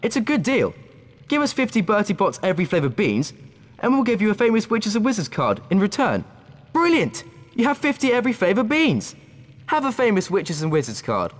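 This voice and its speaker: A cheeky deal-making schoolboy from a video game. The fairly high voice moves about in lively swings and echoes with long reverb. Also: male voice, fairly quick. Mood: cheeky, salesmanlike.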